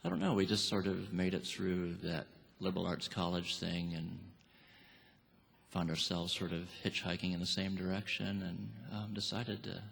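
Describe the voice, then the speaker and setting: A man's voice: deep voice, fairly even intonation, normal speed, creaky, a bit bright, husky. A laid-back, wry man, a musician chatting casually as an interview guest in a theatre. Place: theatre stage. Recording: reverberant theatre hall.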